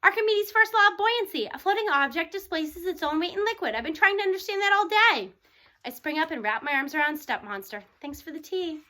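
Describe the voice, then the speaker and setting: High, bright-voiced woman reading a story. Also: fairly quick. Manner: animated, acting out dialogue. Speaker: audiobook reader. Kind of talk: expressive read-aloud of a first-person children's novel.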